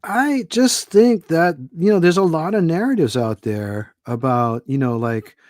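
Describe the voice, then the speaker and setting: A man's voice: on the high side, expressive, unhurried, darkish tone, no room sound, studio quality. A middle-aged man from Hawaii, an author guesting on a podcast, talking in a thoughtful, rambling way with many fillers. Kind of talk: casual podcast conversation.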